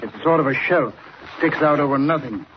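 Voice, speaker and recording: A cautious mountain guide acted by a man gives folksy warnings in 1940s radio style. His voice is fairly high for a man. The recording is dull and noisy. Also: normal speed, natural voice. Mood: steady. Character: steady, cautious male mountain trail guide.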